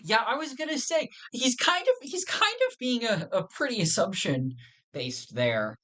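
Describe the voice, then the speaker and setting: A man's voice: very high-pitched, sing-song, moderate pace, pushed and bright. A man chatting casually as a podcast lore guest, thinking aloud in a hesitant, rambling way. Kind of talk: casual chat.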